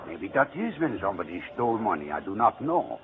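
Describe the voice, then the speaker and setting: A man's voice: lowish voice, sing-song, fairly quick. Tinny old-radio indignant night watchman. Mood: defensive. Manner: broken English, protesting. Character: put-upon foreign-born night watchman, indignant working man. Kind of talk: 1940s radio acting. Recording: tinny, noisy 1940s radio recording.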